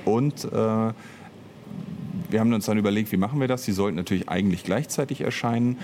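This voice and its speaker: A man's voice: fairly low pitch, a little fast. A man talking casually as an interview guest, explaining at length and often restarting his sentences. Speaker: interviewed audio drama author and director. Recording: noticeable background noise.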